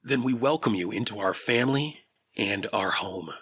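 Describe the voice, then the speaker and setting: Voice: mid-range voice, animated, normal speed, a bit bright, slight background noise, natural voice. A performer voicing a royal pony father, earnest and formal, in a cartoon drama. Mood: solemn. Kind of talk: animated fan drama voice acting.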